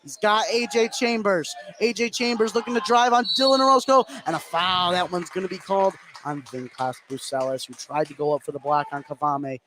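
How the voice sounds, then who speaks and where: A man's voice: high-pitched, fairly even intonation, fairly quick, few pauses, sharp tone. A young man calling live basketball play-by-play for radio. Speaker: play-by-play sports announcer. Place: courtside in a high school gym. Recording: noisy gym ambience behind voice. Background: faint gym noise.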